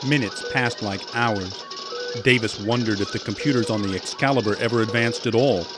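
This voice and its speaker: A man's voice: lowish voice, moderate pace, loud music behind the voice, close to an everyday voice. A man playing the solemn narrator of a scripted science fiction audio drama. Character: solemn male storybook-style sci-fi narrator.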